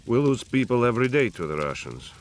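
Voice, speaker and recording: An actor playing a bitter, weary resistance fighter in a game, speaking in a sardonic, guarded way. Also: male voice, medium pitch, big pitch swings, fast, close to an everyday voice. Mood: weary, resigned. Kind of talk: scripted military game dialogue.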